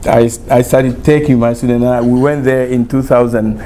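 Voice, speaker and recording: A man's voice: mid-range voice, moderate pace, slight background noise. Congolese man telling stories to a group. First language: Chiluba and Lingala. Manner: animated storytelling, switches languages, laughs often. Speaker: university professor. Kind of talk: informal talk to a small group.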